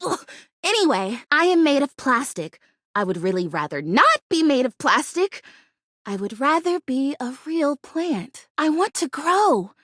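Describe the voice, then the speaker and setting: A woman's voice: sits high, big pitch swings, a little slow, fairly bright tone, no echo, clean studio sound, exaggerated voice. A woman voicing a bubbly, scatterbrained young plastic houseplant in a video game, chatty and gushing. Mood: dismayed, wistful. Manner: gushing, chatty, easily distracted, exclamatory. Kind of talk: animated video game character acting.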